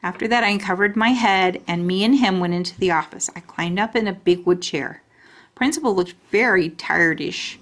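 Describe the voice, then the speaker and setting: A woman storyteller reading a children's book aloud in expressive narration. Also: mid-range voice, normal speed.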